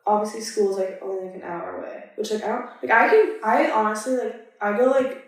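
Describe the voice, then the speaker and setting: A woman's voice: mid-range voice, fairly quick, vocal fry. A young woman in casual, informal conversation. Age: young adult. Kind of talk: casual chat. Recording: echoey, roomy pickup.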